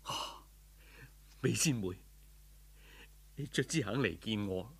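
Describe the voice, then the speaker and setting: Young male scholar, acted by a man, Cantonese, lovesick, humble, sighing, low husky voice, folk-tale audiobook. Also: lively intonation, slow pace, full character voice. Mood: yearning. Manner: sighing, courteous, plaintive.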